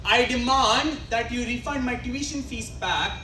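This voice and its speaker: A brash, stubborn former pupil with an Indian accent, acted by a young woman. The delivery is insistent and slangy amateur stage comedy. It sounds distant and echoey, with clear noise in the hall. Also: on the high side, measured pace, pushed and bright. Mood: demanding. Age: young adult. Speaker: student actor in a college play. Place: live college stage, picked up from afar.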